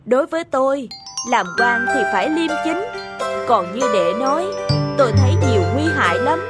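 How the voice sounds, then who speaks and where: A woman voicing a humble, contented old male farmer in a cartoon folktale, measured and moralizing. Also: high-pitched, expressive, over loud music. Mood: earnest.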